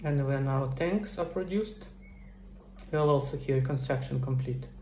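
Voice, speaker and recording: Mellow-voiced man in a roomy space. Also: medium pitch, measured pace. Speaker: game developer giving a tutorial. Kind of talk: screen-recorded tutorial, casual explanation. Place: small indoor room at a computer. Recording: roomy echo, faint background noise.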